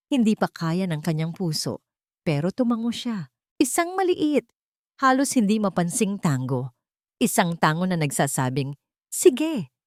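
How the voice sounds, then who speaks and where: A woman's voice: medium pitch, a little mellow, no echo. Woman narrator for audio drama, emotive storytelling, dry studio sound.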